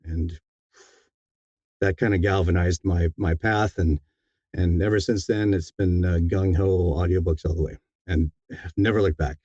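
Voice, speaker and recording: A man speaking as a guest in a casual interview, in a halting, reflective and sincere way. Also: low voice, fairly even intonation, warm tone, breathy edge, no room sound, lo-fi sound. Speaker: guest, audiobook narrator.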